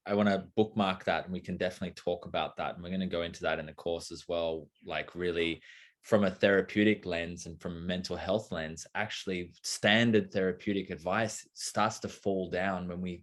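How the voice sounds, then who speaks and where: A young adult man talking casually and thoughtfully, rambling with lots of fillers and restarts. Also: deep voice. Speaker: co-host of a live talk. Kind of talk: casual conversational talk.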